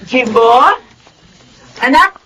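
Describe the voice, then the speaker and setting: An actress playing an indignant middle-aged Filipino mother and grandmother in a radio drama, husky and scolding. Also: on the high side, expressive, drawn-out words, fairly bright tone, full character voice, rough recording. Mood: concerned.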